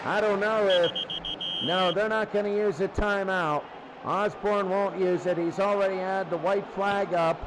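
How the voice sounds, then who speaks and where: A man's voice: high voice, fairly even intonation, measured pace. A man doing play-by-play football commentary in a radio style, repeating phrases for emphasis. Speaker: play-by-play sports announcer. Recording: clearly noisy sound.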